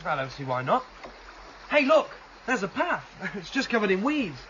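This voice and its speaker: High-pitched, earnest young male rebel. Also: big pitch swings, normal speed, sharp tone, full character voice. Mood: eager, casual. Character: earnest, questioning young male rebel against a controlling state. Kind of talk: radio drama acting. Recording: slightly dull, noisy radio drama recording.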